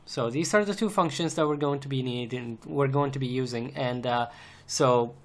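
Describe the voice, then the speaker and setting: A man's voice: medium pitch, moderate pace. A man narrating an unscripted, step-by-step screencast tutorial in a methodical way, repeating phrases as he goes. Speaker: programming tutorial instructor. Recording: noisy home recording, typing and clicks. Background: keyboard typing, mouse clicks, background noise.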